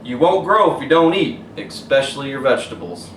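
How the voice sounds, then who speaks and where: A man voicing a kindly, wise storybook mother with a measured, gently firm and reassuring manner. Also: mid-range voice, sing-song. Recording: roomy echo, distant mic, faint noise.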